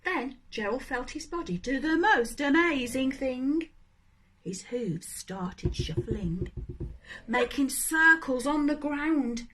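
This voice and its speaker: Female children's storyteller, high voice, very expressive swings, addressing young listeners directly. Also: slow pace. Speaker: storybook reader.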